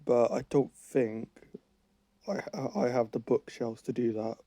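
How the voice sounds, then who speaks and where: A man's voice: mid-range voice, flat delivery, a little slow, dark tone, no room sound. A man with a cold and a fading voice, giving rambling gameplay commentary that keeps correcting itself. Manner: rambling, self-correcting, thinks aloud while playing. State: has a cold, losing his voice. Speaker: gaming channel host.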